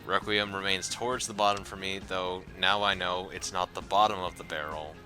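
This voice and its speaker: A man's voice: sits low, steady tone, a little fast, pushed and bright, soft background music. A man co-hosting a casual podcast, dry and blunt in his remarks. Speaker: podcast co-host. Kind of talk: casual chat.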